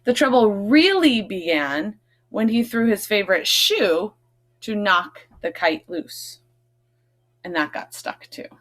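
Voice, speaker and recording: A woman's voice: mid-range voice, animated, takes it slowly, fairly bright tone. A woman reading a picture book aloud to children, stressing key words expressively and adding chatty, questioning asides. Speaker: read-aloud host, teacher reading to children.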